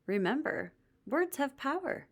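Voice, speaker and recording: A woman's voice: high voice, big pitch swings, unhurried, pitched above the performer's own voice, full character voice. A woman voicing a kind male mentor in a children's storybook, teaching in an encouraging, instructive way.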